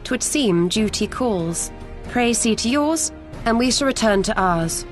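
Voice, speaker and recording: A woman voicing a wise, composed sorceress in a game cutscene, speaking in measured, solemn, archaic phrases. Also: a little high, expressive, faint music behind. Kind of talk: video game cutscene acting.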